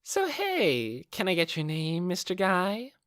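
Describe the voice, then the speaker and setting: A man as young villainess, high-pitched, swooping, sly, playful, sing-song, flippant, taunting, fantasy audiobook. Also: wildly swooping pitch, slow, a bit bright, clean tone, no echo, studio quality, exaggerated voice.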